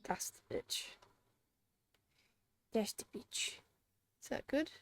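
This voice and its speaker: A woman chatting casually and crudely as she streams herself playing a video game. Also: takes it slowly. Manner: informal, crude joking. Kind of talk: casual livestream gameplay chat, reading game dialogue aloud.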